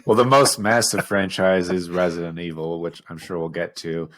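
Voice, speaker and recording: A man's voice: low voice, steady tone, normal speed, a bit bright. A man co-hosting a podcast, explaining his opinions in a casual, analytical way. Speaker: podcast co-host.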